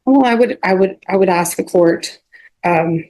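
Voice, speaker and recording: A woman speaking as a defense attorney in a court hearing, halting and often repeating or restarting her phrases. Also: on the low side, dark tone, poor audio. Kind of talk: addressing a judge in a court hearing.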